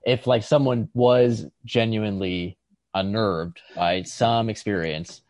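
A man with a slightly low voice, recorded dry, talks about writing as a casual podcast co-host. He is tentative and halting, circling an idea before he lands on it. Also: steady tone, darkish tone, no room sound. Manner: halting, tentative, circling toward his point. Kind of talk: casual literary discussion.

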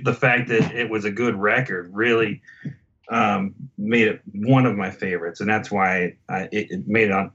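Middle aged american guy on a podcast, lowish voice, rambly casual chat, bit of a dull lofi sound. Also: fairly bright tone. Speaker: podcast guest. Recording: slightly dull, lo-fi connection.

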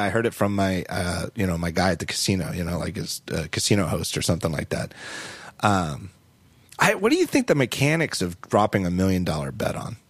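A man with a very low voice that swoops up and down a lot as he tells stories, sounding a little hoarse. He rambles, restarting and correcting himself often. The recording is dry and close, with no room sound. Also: no echo. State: slightly hoarse. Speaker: podcast host. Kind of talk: casual chat.

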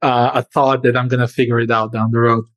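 A man's voice: medium pitch, little pitch movement, fairly quick. A man who grew up in Serbia answers interview questions as a company founder, speaking earnestly with frequent fillers and shifts in pace.

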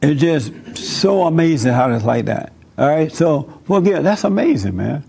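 A man's voice: a little high, very animated, moderate pace, creaky, mellow. A man preaching and chatting with visitors, emphatic and repeating his phrases.

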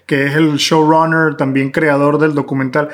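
A man's voice: a little high, flat delivery, normal speed. A man with a Venezuelan accent, speaking as a podcast host in a conversational interview.

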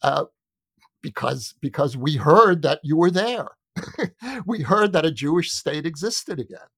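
A middle-aged American man speaking reflectively as an interview guest, making his case deliberately and emphatically, repeating words and pausing for weight. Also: on the high side, animated, creaky, dry recording. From United States. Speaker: interview guest, journalist and author. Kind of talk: reflective conversational argument in an interview.

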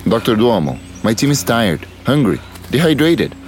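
An actor playing a cautious, grave male expedition guide in an audio drama, measured and foreboding. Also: mid-range voice, big pitch swings, slight background noise, natural voice. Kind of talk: immersive audio drama acting.

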